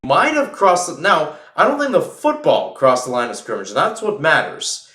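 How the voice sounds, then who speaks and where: Man, live football play-by-play, informal and self-correcting, lively swings in pitch, echoey room. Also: normal speed. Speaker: play-by-play sports commentator. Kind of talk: live football radio-style commentary.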